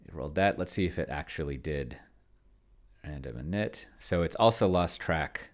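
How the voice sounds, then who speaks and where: A man's voice: extremely low pitch, lively intonation, normal speed. A man giving casual think-aloud commentary on a game, musing to himself and trailing off. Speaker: solo tabletop game player and demonstrator.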